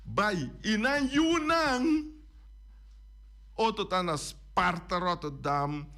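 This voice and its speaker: A man with a high voice talks slowly and deliberately as a radio host. He drives his points home with repetition, running through lists item by item. The sound is a close studio microphone. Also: animated, bright, forceful tone. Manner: emphatic, repeats and lists points. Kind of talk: radio talk commentary.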